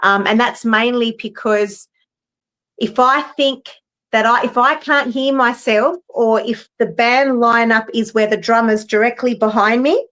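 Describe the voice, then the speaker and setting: A woman's voice: a little high, fairly even intonation, unhurried, fairly bright tone. A middle-aged woman, a professional singer, talking casually on a video call, rambling with many fillers and restarts. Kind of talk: casual roundtable chat. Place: home, live-streamed video call.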